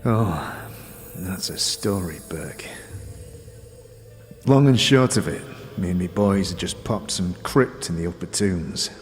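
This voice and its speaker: Talking corpse of a bitter thief: deep, gruff, slangy, boastful, slow-paced, for fantasy audio drama. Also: male voice. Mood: bitter, grumbling. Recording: long reverberant echo, music underneath.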